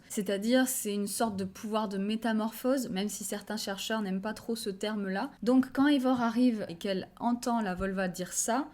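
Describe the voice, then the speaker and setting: A woman's voice: mid-range voice, darkish tone. A young woman talking casually about Norse history and myth for her channel, thinking aloud with frequent hesitations. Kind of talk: casual educational commentary.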